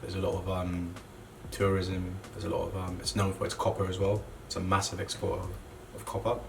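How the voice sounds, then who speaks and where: A young man who grew up in Zambia, a clothing brand co-founder, talking casually and relaxed, with lots of "you know" fillers. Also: low-pitched, a little fast. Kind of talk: casual interview monologue. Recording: distant mic with clear background noise.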